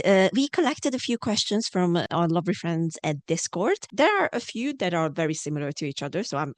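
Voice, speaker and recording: A woman's voice: sits low, no room sound. A woman from Hungary interviewing a guest casually as an enthusiastic podcast host.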